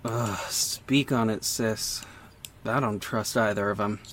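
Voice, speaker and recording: A man's voice: on the low side, steady tone, slow, fairly bright tone, a little hiss or hum. A man playing an earnest young vigilante private investigator in an audio drama. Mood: casual, wry. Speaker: narrator and lead actor. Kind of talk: first-person audio drama narration and dialogue.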